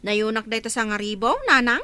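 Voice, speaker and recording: A woman's voice: fairly high pitch, a little fast, bright, forceful tone, clear, resonant tone. A woman acting a caring, fussing eldest daughter in an Ilocano radio drama, with an Ilocano accent. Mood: matter-of-fact. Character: fussing, caring eldest daughter visiting her village mother.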